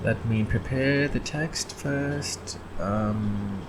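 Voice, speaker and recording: A man chatting casually and haltingly as he thinks aloud while working on his indie game devlog. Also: mid-range voice, background noise. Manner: halting, often clearing throat.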